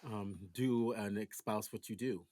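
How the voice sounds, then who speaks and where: A man hosting a podcast in casual conversation, teasing and encouraging his guest in quick back-and-forth. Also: fairly low pitch, fairly even intonation, dark tone. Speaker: podcast host.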